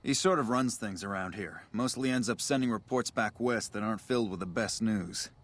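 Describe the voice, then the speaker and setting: A man's voice: lowish voice, fairly quick, natural voice. A man voicing a weary, matter-of-fact army major stationed at a desert border outpost in a video game. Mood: businesslike, guarded. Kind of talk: video game dialogue acting.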